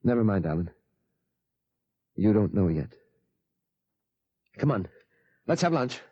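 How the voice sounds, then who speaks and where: A man's voice: lowish voice, big pitch swings, slow, mellow, breathy edge, heavily acted. A man playing an earnest, burdened father in a 1950s radio drama. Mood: distracted. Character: earnest, burdened father determined to save his son. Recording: dull old radio drama recording.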